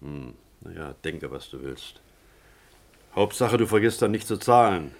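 An actor playing a wry, teasing station pub landlord in a radio drama, dry and a little hesitant. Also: male voice, low voice, lively intonation, unhurried, full character voice. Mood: dry, needling. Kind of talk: naturalistic radio drama acting.

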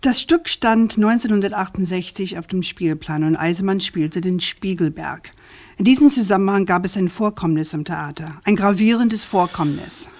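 An actress playing a persistent, assertive journalist in a radio crime drama, speaking in an articulate, pointed way. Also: female voice, mid-range voice, moderate pace, a little mellow, natural voice. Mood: insistent.

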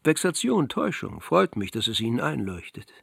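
An actor playing a jovial, self-ironic older court physician who paints, talking in blustery, flowery old-fashioned phrases in a radio drama. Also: male voice, mid-range voice, sing-song, moderate pace, vocal fry, husky, no echo, heavily acted. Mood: lecturing, emphatic.

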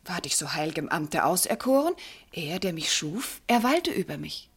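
An actress playing a devoted, troubled wife in a classical verse radio drama, hesitant and fervent, with a breathy delivery. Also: female voice, lowish voice, sing-song, normal speed, exaggerated voice. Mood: anxious, reverent. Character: devoted, troubled young wife torn between god and husband.

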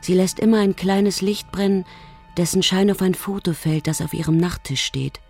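An actress playing a composed, matter-of-fact narrator in a German radio play. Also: female voice, on the low side, fairly even intonation, normal speed, darkish tone, faint music behind, natural voice. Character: composed narrator reporting events in present tense.

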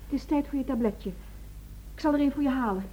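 An actress playing a worried, caring wife in a Dutch radio drama, soft-spoken and hesitant. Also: female voice, high-pitched, quick pace, dull audio, a little hiss or hum, close to an everyday voice. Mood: solicitous.

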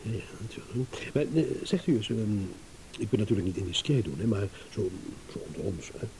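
An actor playing a shrewd, amused businessman in a radio drama, teasing and insinuating with hesitant pauses. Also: lowish voice, animated, darkish tone, poor audio. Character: shrewd, amused businessman, a blackmail victim turning schemer. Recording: hissy old radio play recording.

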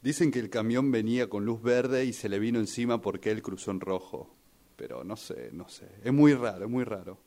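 Un hombre con acento argentino que interpreta en un radioteatro a un hijo en duelo, dubitativo y lleno de sospechas. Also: male voice, fairly low pitch, animated, moderate pace, dark tone. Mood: uneasy, suspicious. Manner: hesitant, repeats phrases. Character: grieving son troubled by suspicions over his father's death. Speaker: radio drama workshop participant. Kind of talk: rehearsed radio drama acting.

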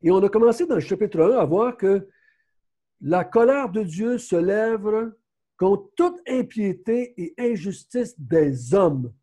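High, husky Québécois man teaching. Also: expressive, slow pace, warm tone. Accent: Québécois French. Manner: conversational, frequent self-corrections. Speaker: Bible study teacher. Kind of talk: casual Bible teaching.

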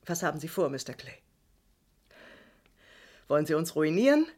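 An actress playing a proud, defensive wife in a vintage crime radio play, sharp-tongued and dramatic. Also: female voice, sits low, big pitch swings, normal speed. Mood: anxious, suspicious. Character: proud, defensive wife shielding her husband.

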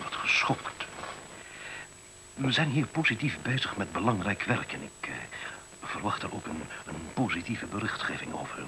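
An actor playing a pompous, stubborn official who blusters and falters when cornered, in a Dutch radio play. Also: male voice, deep voice, heavy background noise. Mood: hesitant, wounded. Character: pompous, stubborn official running an education experiment, easily rattled.

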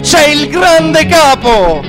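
Fervent activist, radio theatre monologue, high voice, wide swings, mocking, music behind. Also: male voice, big pitch swings, drawn-out words, exaggerated voice. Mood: mocking, mock-solemn. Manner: dramatic, emphatic, mocking. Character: fervent satirical male anti-mafia activist telling his story.

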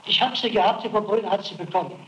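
A man's voice: sits high, quick pace, fairly bright tone, reverberant, poor audio. A man under interrogation, giving defensive and evasive answers on an archival tape.

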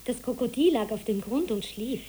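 A high-voiced curious kid in a radio play, eager and dreamy. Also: female voice, expressive, normal speed, warm tone, husky. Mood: eager, wondering. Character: imaginative, eager child helper. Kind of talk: literary radio play acting.

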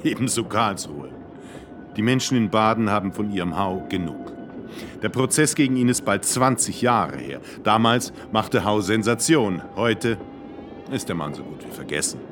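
An actor playing a weary small-time crook and variety artist in a German radio play, theatrical and resigned. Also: male voice, lowish voice, expressive, moderate pace, over music, lightly acted.

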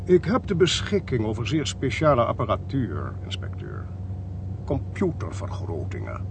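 Investigator, radio drama: wry, demanding, theatrical, hugely varied intonation, slightly noisy track. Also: male voice, mid-range voice, wildly swooping pitch, normal speed, creaky. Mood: self-assured. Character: smooth, self-assured, demanding male investigator with technical gadgets. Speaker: actor in a radio play.

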